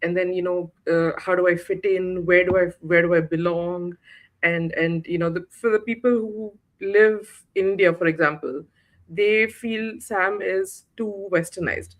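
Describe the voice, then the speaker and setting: Woman author from the uae, lowish voice, lots of ums and restarts, video call chat. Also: steady tone, measured pace, muffled recording. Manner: hesitant, many fillers and restarts. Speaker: guest author. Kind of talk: casual interview chat.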